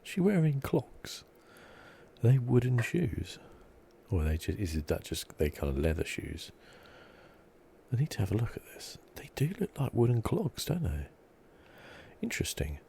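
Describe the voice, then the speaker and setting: A man giving soft, relaxed, musing ASMR commentary as host of a video game playthrough. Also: medium pitch, normal speed, gravelly, slight background noise. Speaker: gaming channel host.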